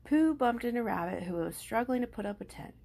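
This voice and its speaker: A woman's voice: on the high side, lively intonation, brisk, gravelly, warm tone. A woman reading a children's story aloud expressively, as an amateur reader with the occasional stumble.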